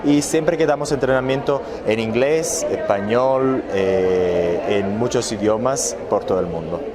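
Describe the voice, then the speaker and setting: A man's voice: mid-range voice, unhurried, reverberant, heavy background noise. A man born in Italy, speaking as the founder of an aesthetics business, hesitant with many fillers and restarts. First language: Italian. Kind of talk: promotional talk and interview.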